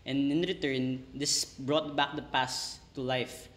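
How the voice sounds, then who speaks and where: A man's voice: medium pitch, little pitch movement, takes it slowly, fairly bright tone. Young man reading in an echoey room. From Philippines. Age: young adult. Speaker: university student. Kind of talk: scripted class video presentation. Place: indoor room by a window.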